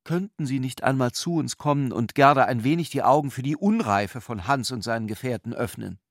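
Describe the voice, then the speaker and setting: A man's voice: medium pitch, a little fast, dry recording, lightly acted. A plaintive bourgeois mother of middle age as a male narrator voices her in a literary radio play. She speaks in an agitated, indignant, sighing way, close and dry. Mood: agitated, pleading.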